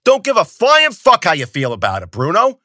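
A loud, bullying male camp boss barks and swears in a high, strained, forceful voice, put on by a man reading a story. His pitch jumps all over as he shouts down the other man, dry and close. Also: huge pitch swings, normal speed, no echo, heavily acted. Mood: yelling angrily. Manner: yelling, profane, domineering.

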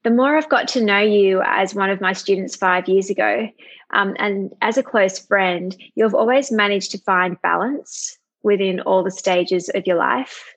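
A woman with a slightly husky, breathy voice hosts an interview podcast. She speaks in an earnest, affirming way, with the steady delivery of a prepared script. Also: medium pitch, a little slow. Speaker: podcast host.

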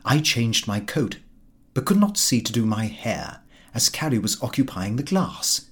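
Male narrator for a comic diary novel: husky, mid-pitched, expressive and wry. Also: measured pace. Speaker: audiobook reader.

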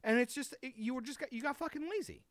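A man's voice: very high-pitched, fast, a bit bright, no echo. A man ranting heatedly and profanely in a casual chat among friends.

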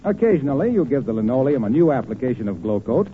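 Lively male announcer, tinny old radio. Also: medium pitch, quick pace, over music. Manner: folksy, courteous salesmanship. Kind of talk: 1940s radio announcing, polished scripted pitch. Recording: tinny 1940s radio recording.

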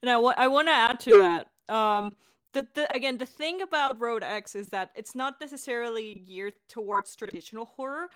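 A woman's voice: on the high side, brisk. An enthusiastic woman speaking as a podcast director and co-host in a casual, chatty Q&A. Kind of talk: casual creator Q&A.

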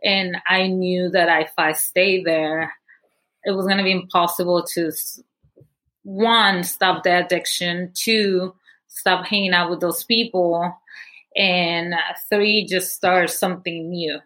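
A woman's voice: lowish voice, fairly even intonation, slow pace, a bit bright, poor audio. A young woman from Guatemala, a podcast guest candidly telling her life story with many fillers. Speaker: guest, movement founder. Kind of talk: personal story interview.

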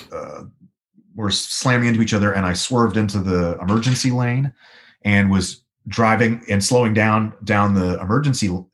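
A man, likely in his thirties, with a baritone voice, telling a long rambling story as a guest in an interview. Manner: rambling, animated storyteller with many restarts. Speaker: guest musician.

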